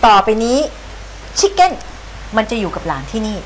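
A woman's voice: mid-range voice, expressive, moderate pace, heavy background noise, lightly acted. An actress playing a stern, bossy old grandmother who scolds dramatically. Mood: scolding. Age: older. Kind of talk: television drama acting. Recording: music score behind.

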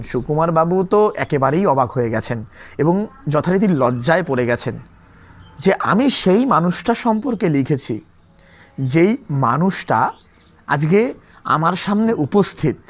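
A man teaching a lesson, retelling a story in an explanatory way, repeating and rephrasing points and posing rhetorical questions. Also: fairly high pitch.

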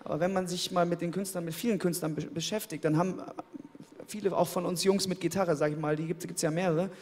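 A man in his thirties, interviewed on a studio stage, speaking into a handheld mic in a relaxed, thoughtful way, often repeating words as he searches for them. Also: high-pitched, fast. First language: German. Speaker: interviewed pop singer. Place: indoor studio stage, seated in armchair. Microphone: handheld microphone.

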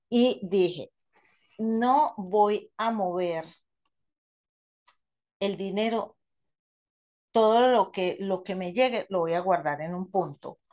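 A woman talks through a hands-on demonstration. Her pitch is medium, and her delivery is halting and explanatory, with phrases repeated and reworded. It sounds like an informal spoken tutorial from someone seated at a table. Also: darkish tone, dull audio. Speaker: radionics therapist demonstrating a quantum table.

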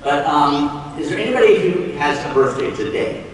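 A man's voice: a little high, expressive, normal speed, far from the mic. A middle-aged man hosting a live panel informally on a large convention stage. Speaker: panel moderator. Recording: echoey hall from afar with crowd.

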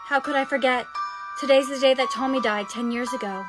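A woman's voice: high-pitched, fairly even intonation, fairly quick, over music, natural voice. A woman playing an earnest, anxious teenage schoolgirl in a comic horror skit, with a pleading manner. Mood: hurt, shaken.

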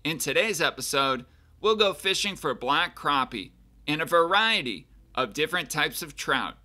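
A man in his thirties, host of a fishing show, narrating in a calm, steady scripted voice. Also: on the high side, normal speed. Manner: calm, steady narration, excited exclamations on the boat. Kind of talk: scripted narration and casual chat on a boat. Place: small boat on a freshwater pond, outdoors. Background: guitar music.

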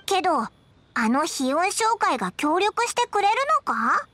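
A woman voicing a small, childlike fairy girl companion in an anime game, squeaky, blunt and teasing. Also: very animated, unhurried, fairly bright tone, exaggerated voice. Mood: curious, doubtful. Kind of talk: Japanese anime game voice acting.

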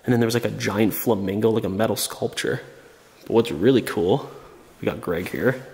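Young male vlogger, a bit under the weather, low voice, chatty and eager, filming himself at arm's length in an empty house. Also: moderate pace, gravelly, darkish tone. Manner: enthusiastic, chatty, many fillers. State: sick with a cold. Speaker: urban explorer vlogger. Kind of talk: casual walkthrough narration. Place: empty abandoned house, indoors. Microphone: small camera held at arm's length.

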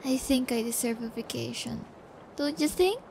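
A woman acting a sadistic young female captor in an ASMR audio roleplay, taunting and gloating with drawn-out, cruel menace. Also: a little high, vocal fry, noisy background. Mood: gloating control.

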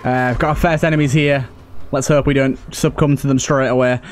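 A man's voice: mid-range voice, steady tone, fairly quick. A man giving casual, chatty let's play commentary, thinking aloud as he hosts. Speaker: gaming host.

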